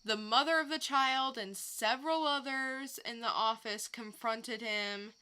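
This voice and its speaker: A woman's voice: fairly high pitch, unhurried, bright, forceful tone, smooth. A woman co-hosting a podcast, recounting odd stories in casual chat with her partner. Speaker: podcast co-host.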